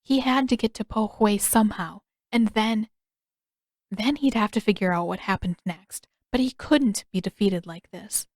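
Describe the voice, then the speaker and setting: A woman's voice: mid-range voice, moderate pace, darkish tone, no echo, studio quality. A woman reading scripted fiction as the narrator of an audio story.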